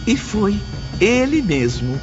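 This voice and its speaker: Slow, solemn male audiobook narrator. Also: high voice, big pitch swings. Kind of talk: scripted dramatic audiobook narration. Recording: dull lo-fi audio under loud music. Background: music.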